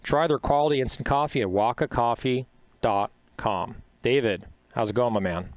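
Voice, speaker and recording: A man's voice: medium pitch, moderate pace, no room sound. A man hosting a podcast in a studio, chatty and upbeat, with plenty of uhs. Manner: chatty, upbeat, filler-heavy. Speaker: podcast host. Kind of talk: podcast interview.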